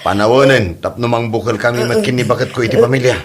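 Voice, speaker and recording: A man's voice: mid-range voice, fast talker, natural voice. A man plays a flustered married son caught under his mother's thumb. His mid-range voice stammers and hesitates, pleading anxiously in comedy drama style. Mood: pleading, earnest.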